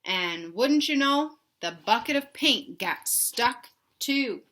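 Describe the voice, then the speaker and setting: A woman reading a children's storybook aloud in an animated, emphatic way, pausing to put questions to young listeners. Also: fairly high pitch, a little hiss or hum. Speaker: read-aloud host for children.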